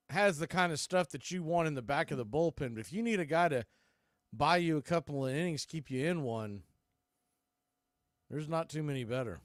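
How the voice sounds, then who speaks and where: A man's voice: a little high, a bit bright, dry recording, clean studio sound. An American man calling a baseball game live as play-by-play host, with folksy, conversational asides. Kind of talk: live sports commentary with casual asides.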